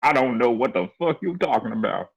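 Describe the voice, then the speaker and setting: A man giving a casual comedic reading on a livestream, halting and stumbling over words. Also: medium pitch, lively intonation, vocal fry, husky. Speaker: co-reader on a livestream.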